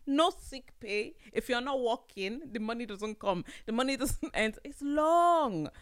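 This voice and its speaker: A woman's voice: fairly high pitch, expressive, unhurried, no echo, studio quality. A young woman hosting a solo podcast, talking casually in a playful, slangy way, often laughing. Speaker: podcast host.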